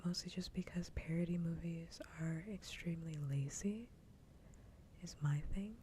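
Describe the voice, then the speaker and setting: A woman streamer chatting casually and with opinions in a soft, breathy whisper, close to an ASMR microphone. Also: on the low side, normal speed, slight background noise.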